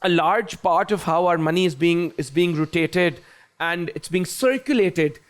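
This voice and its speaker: A man's voice: fairly high pitch, a little slow, smooth. A young Pakistani man hosting a talk show, chatting playfully and teasing his guest, dropping into Urdu and Punjabi asides. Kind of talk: lively chat show banter.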